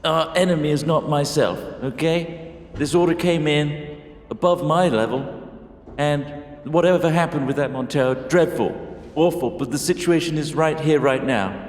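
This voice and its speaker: A man's voice: fairly high pitch, normal speed. Smarmy man in an echoey room. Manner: smarmy, evasive in-character talk. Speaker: player. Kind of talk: in-character tabletop roleplay. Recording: echoey room with long reverb.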